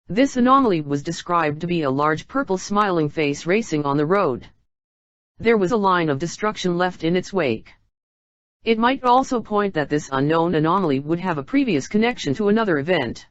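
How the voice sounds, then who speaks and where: A woman's voice: lowish voice, no room sound, highs cut off. A woman reading a scripted emergency broadcast announcement in a formal, instructional manner.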